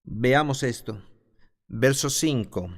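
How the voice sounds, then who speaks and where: A man's voice: medium pitch, expressive, takes it slowly. A man teaching a Bible class who reads scripture aloud and then explains it, stopping now and then to restart a phrase. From the south of his country.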